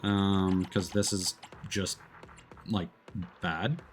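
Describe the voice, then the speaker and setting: A man's voice: deep voice, fairly even intonation, extremely slow, slightly rough. A man giving casual live commentary on a video game, thinking aloud in a hesitant, rambling, self-deprecating way. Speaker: livestreamer playing a video game. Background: game music.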